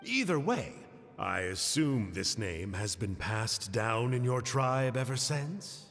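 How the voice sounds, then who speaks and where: An actor playing a glib, playful uncle in a video game, talking with theatrical, mock-earnest folksiness. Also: male voice, mid-range voice, sing-song, slow, creaky, a bit bright, long reverb tail, soft background music, full character voice. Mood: musing. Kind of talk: animated game voice acting.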